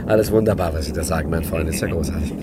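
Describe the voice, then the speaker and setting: An actor playing a suave, scheming gentleman crook in a 1950s German radio play, urbane, wry and condescending. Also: very deep voice, rapid-fire, noisy background. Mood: brisk, conspiratorial.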